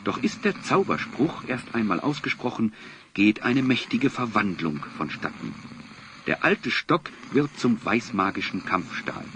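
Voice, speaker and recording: A man's voice: lowish voice, sing-song, a little slow, noisy background. A man narrating a fantasy adventure as storyteller of a children's audio drama, in scripted storybook style. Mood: solemn storytelling.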